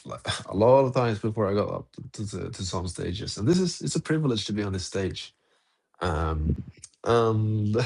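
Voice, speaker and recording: A man's voice: fairly low pitch, darkish tone. A man hosting a casual live audio-room podcast chat, laid-back and chuckling between phrases. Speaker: podcast host.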